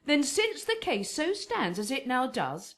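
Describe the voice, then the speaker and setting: Female, pragmatic household nurse in classical radio play; high, very animated pitch, slow emphatic delivery. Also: exaggerated voice. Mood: pragmatic, coaxing. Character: earthy, pragmatic female nurse to a noble girl. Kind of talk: Shakespearean radio drama acting.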